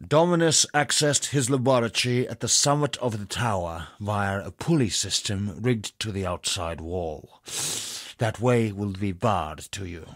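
A grave male poet from a dark fantasy game, low in pitch and measured in his warnings. The delivery is solemn and unhurried. It sits close and dry on a studio mic. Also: dry recording. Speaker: game character.

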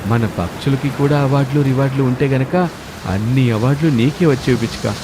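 A man's voice: medium pitch, brisk, background noise, lightly acted. A man voicing a scheming lover sparrow in a bird cartoon, with a dramatic, conspiring manner. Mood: gleeful admiration.

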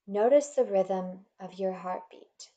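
A woman leading a guided meditation in a gentle, soothing, measured manner, leaving pauses between instructions. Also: mid-range voice, dull audio.

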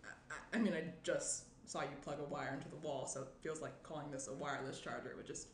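A woman's voice: low-pitched, steady tone, fast talker, close to an everyday voice. A young woman playing a guarded, sarcastic woman on an awkward morning-after, dry and brusque, in a naturalistic table read. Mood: dry, challenging. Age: young adult. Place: indoor room, seated at round table. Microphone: shared desk microphone on table. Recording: roomy echo, clear background noise.

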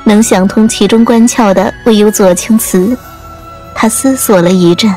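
A woman's voice: mid-range voice, moderate pace, darkish tone. A woman reading scripted audiobook narration as the storyteller. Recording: music under the voice.

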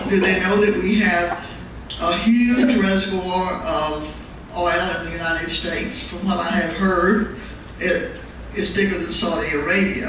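An older woman from Southern California asking a question from the floor, chatty, laughing and repeating her questions. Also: fairly low pitch. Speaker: audience questioner. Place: large hall with audience. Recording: echoey hall from far off, crowd noise.

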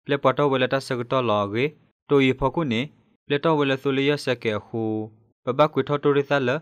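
A man's voice: mid-range voice, fairly even intonation, fairly quick, smooth, dry recording. A man presenting scripted news as a radio newsreader.

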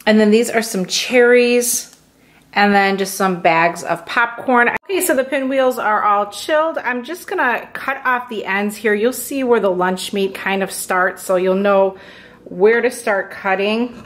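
A woman's voice: mid-range voice, a little slow. A woman in her thirties, a mother, casually talking through a lunch prep at her kitchen counter, chatty and easygoing. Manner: chatty, relaxed, fillers like "go ahead" and "like". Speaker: home cook, meal prep channel host. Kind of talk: casual cooking demonstration voice-over.

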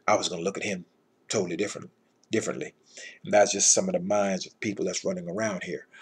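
A middle-aged man with a husky voice giving an informal scripture lesson in an emphatic, admonishing manner. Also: fairly low pitch. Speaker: Bible teacher.

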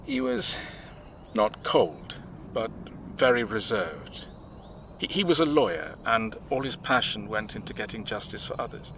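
A man acting in an audio drama, playing a reserved, measured man who recounts his family's past in a reflective way. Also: fairly low pitch, sing-song, vocal fry, fairly bright tone, a little hiss or hum, heavily acted.

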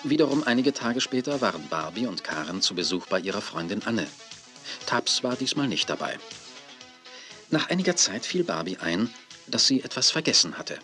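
An actor narrating a children's audio drama as its storyteller. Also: male voice, lowish voice, big pitch swings, normal speed, bright, forceful tone. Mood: storytelling. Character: storyteller of a children's radio play. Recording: music under 1980s cassette audio play. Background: music.

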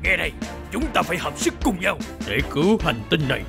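A man's voice: on the high side, big pitch swings, fairly quick, creaky. A man voicing an earnest, heroic dinosaur robot in a cartoon dub, speaking with urgent declamation. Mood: rallying. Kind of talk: animated action cartoon dub. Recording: loud music behind the voice.

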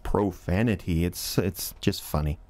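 An actor playing a fumbling, defiant young radio DJ in an audio drama, stammering and sardonic. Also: male voice, low voice, a little slow, a little mellow. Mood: flippant, protesting. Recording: clear background noise.

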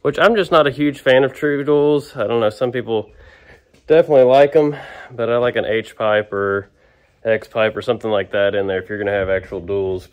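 A man's voice: on the low side, clear, resonant tone. Laid-back man rambling in his garage. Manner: relaxed, rambling, talks while working. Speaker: shop owner working on a truck. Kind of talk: casual shop vlog narration.

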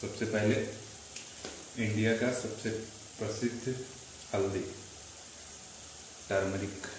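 A young man talks viewers through his cooking in a relaxed, chatty way and calls them brother. His voice sits low and stays fairly level. The small kitchen sounds echoey, with faint background hum. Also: slow. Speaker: cooking vlogger. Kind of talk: casual cooking voice-over. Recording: echoey room with faint ambient noise.

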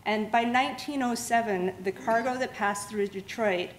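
A woman's voice: on the high side, normal speed, a little hiss or hum, rough recording. A woman giving a public science lecture in a lecture room, explaining steadily and restarting words often. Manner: steady explanatory pace with frequent restarts and fillers. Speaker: museum lecturer.

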